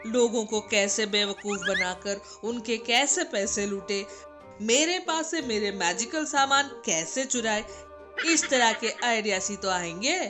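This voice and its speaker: A woman's voice: on the high side, lively intonation, measured pace. Woman as a stern, scolding older mother-in-law, music behind. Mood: mocking. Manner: lecturing, sharp-tongued. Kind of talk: animated moral comedy story voice acting. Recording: background music under the voice.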